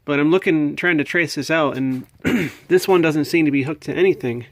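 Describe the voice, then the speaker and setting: Higher-voiced American man, casually thinking aloud. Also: moderate pace, no echo. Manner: hesitant, thinking aloud, puzzled. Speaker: hobbyist video maker. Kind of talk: casual show-and-tell. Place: indoors at a home workbench.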